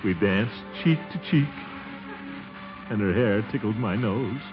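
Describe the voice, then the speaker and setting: An actor playing a flustered, sentimental middle-aged American bachelor in a theatrical 1940s radio comedy style. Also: male voice, on the low side, measured pace, natural voice. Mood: fondly nostalgic. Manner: theatrical, sighing, exclaiming. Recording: muffled 1940s radio recording with music.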